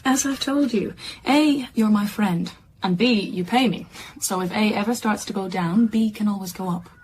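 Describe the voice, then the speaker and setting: An actress playing a frank, teasing friend and childminder in a radio drama. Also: female voice, medium pitch, normal speed, a little mellow, slight background noise, lightly acted, poor audio.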